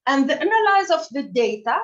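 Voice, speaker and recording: High-pitched Tunisian woman presenting, halting delivery. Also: sing-song, lo-fi sound. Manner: halting, frequent hesitations mid-phrase. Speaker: conference presenter and session co-chair. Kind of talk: academic conference presentation.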